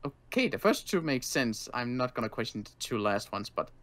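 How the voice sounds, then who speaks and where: A man voicing a wary but kindly armed guard in an improvised game roleplay, speaking casually and haltingly. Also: mid-range voice, sing-song, a bit bright. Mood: casual, unsure. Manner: casual, halting, hesitant delivery.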